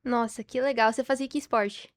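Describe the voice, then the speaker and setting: Young brazilian girl hosting a podcast, pretty high voice, casual and enthusiastic. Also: fairly even intonation, a little fast. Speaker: podcast host. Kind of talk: casual interview.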